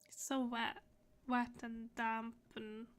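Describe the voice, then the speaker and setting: Slow-talking, wry woman game streamer. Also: a little high, level tone, noisy background. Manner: wry, joking. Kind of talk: casual gameplay commentary. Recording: game music behind.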